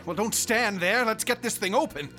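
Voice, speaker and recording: A man's voice: sits high, moderate pace, background noise, close to an everyday voice. An actor playing a cold, arrogant young male medical student in a radio drama, clipped, clinical and condescending. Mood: driving. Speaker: reanimating scientist.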